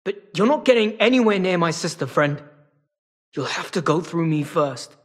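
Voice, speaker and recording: A sly feline rogue from a video game. The voice sits fairly high for a male and has a husky rasp. He talks in a wry, casual, streetwise drawl, like a weary hustler who is always bargaining. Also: lively intonation, normal speed. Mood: weary, pleading. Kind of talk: video game character acting.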